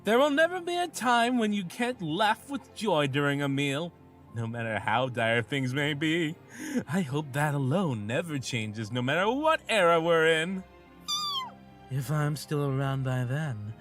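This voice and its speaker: A man playing a gentle, wistful storyteller in a comic dub, speaking in a tender, lyrical way. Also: a little high. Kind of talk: scripted comic dub acting.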